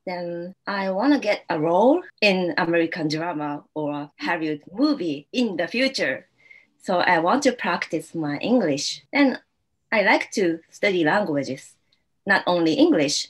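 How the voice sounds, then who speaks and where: A woman from Japan with a Japanese accent, introducing herself in measured English as a student on a video call. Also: fairly low pitch, expressive. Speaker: actor and student.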